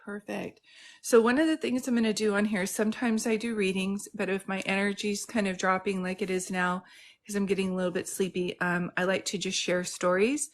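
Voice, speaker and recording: Brief: middle-aged woman, mid pitch, casual livestream host, chatty, greets viewers by name, phone mic. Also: level tone, brisk. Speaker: psychic medium host. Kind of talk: casual live-stream chat. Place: home in Santa Cruz.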